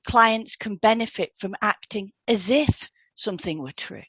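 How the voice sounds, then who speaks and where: A woman co-hosting a podcast as a hypnotherapist, reading a script with measured, deliberate phrasing. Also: mid-range voice, fairly bright tone, slightly rough, no echo.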